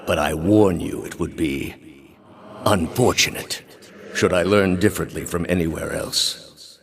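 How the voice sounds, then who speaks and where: A man playing a stern, zealous high priest and inquisitor in a video game, speaking in a measured, formal, quietly menacing way. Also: gravelly, heavily acted. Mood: quietly threatening. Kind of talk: dramatic video game character dialogue.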